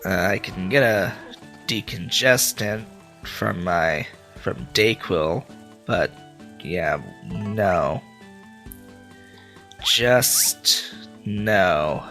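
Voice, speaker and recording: A man's voice: sits low, extremely slow, pauses often, bright, forceful tone, faint music behind. A man with a stuffy nose from a cold, rambling through casual gameplay commentary with many filler sounds. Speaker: let's play host.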